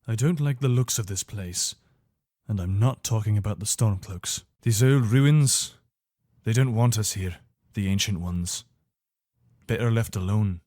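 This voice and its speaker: A man's voice: lowish voice, unhurried, dark tone, dry recording, clean studio sound, close to an everyday voice. A man playing a loyal, wary soldier of an imperial legion in a video game, speaking in an earnest, soldierly manner. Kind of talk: video game character voice lines.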